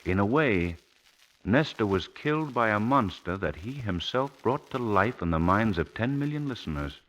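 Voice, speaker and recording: An actor playing a calm, knowing crime-fighter in a measured, theatrical 1940s radio drama style. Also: male voice, fairly low pitch, rough recording. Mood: calm, explaining. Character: calm knowing male crime-fighter, later a menacing avenger. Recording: old 1940s radio broadcast recording.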